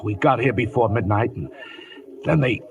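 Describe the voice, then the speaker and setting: An actor playing a badly wounded, dutiful cavalry corporal who halts and stammers weakly in a 1950s radio drama. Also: male voice, medium pitch, creaky, recorded from a distance, close to an everyday voice. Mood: strained, urgent. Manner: halting, stammering, repeating words. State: weak, struggling to speak. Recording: old radio, off the microphone.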